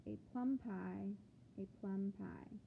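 A woman reading a picture book aloud to children like a teacher, with expressive, deliberate phrasing and questions to the listeners. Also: medium pitch, creaky. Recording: phone-like thin sound with background noise.

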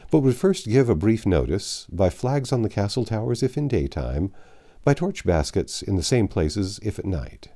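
Low, mellow male storyteller. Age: 50s or 60s. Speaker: audiobook narrator. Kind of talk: reading a classic novel aloud. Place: small home library, indoors.

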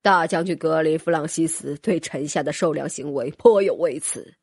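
Man acting an obsequious court minister, husky. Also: fairly high pitch, normal speed, no echo, clean studio sound. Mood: aggrieved, insinuating. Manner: deferential, formal, wordy. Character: obsequious scheming male court minister reporting to the empress. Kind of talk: audiobook character acting.

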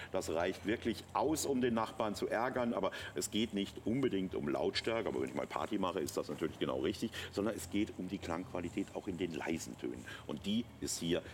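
Low-pitched middle-aged man pitching products. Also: slight background noise. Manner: enthusiastic, explanatory. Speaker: TV shopping presenter and product expert. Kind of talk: live teleshopping product pitch. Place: TV shopping studio. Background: music.

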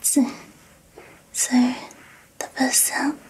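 A woman speaks in a breathy, high-pitched whisper, extremely slowly and with long pauses. She plays a hearing tester in an ASMR style, guiding the listener softly and reassuringly. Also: level tone. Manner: gentle, reassuring, softly instructing. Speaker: ASMR roleplayer giving a hearing test. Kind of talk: ASMR roleplay.

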